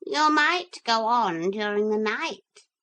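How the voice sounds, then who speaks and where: A woman doing a shrill fussy parrot. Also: expressive, slow pace, sharp tone, full character voice. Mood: chatty. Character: fussy talkative parrot guide, bossy.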